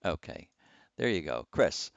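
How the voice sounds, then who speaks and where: A man's voice: extremely low pitch, sing-song, measured pace, vocal fry, dry recording. A man chatting casually on a livestream as a radio hobbyist host, rambling in a folksy, hesitant way.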